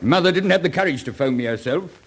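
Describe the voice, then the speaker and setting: A man's voice: a little high, expressive, fast talker, husky. An actor playing an exasperated middle-aged father, dry, pompous and sarcastic in a radio comedy. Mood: testy. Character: exasperated, lecturing middle-class English father.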